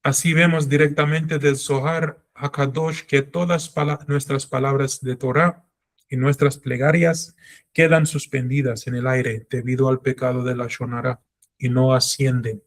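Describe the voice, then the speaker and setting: A man's voice: medium pitch, flat delivery, measured pace. A middle-aged man teaching a Torah class in an earnest, self-reflective way, drawing on his own life. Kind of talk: religious class lecture.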